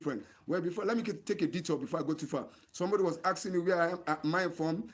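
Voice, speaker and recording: A man's voice: fairly high pitch, quick pace. A man with a Nigerian accent speaking earnestly to viewers as a channel host, repeating himself for emphasis. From Nigeria, Delta State. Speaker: host of an online channel, NGO advocate. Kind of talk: livestream monologue addressed to viewers.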